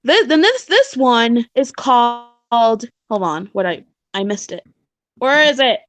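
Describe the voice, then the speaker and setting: A woman with a high voice talks casually as a co-host, reading from articles along the way. Her delivery is halting, with phrases repeated and restarted as she goes. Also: very animated, fairly bright tone. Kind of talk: casual chat, reading blog posts aloud.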